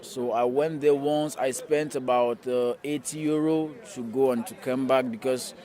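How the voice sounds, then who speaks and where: A man's voice: mid-range voice, fairly even intonation, normal speed, warm tone. A man from Cameroon, a migrant, answering interview questions in a conversational way with frequent fillers. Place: outdoors in a city.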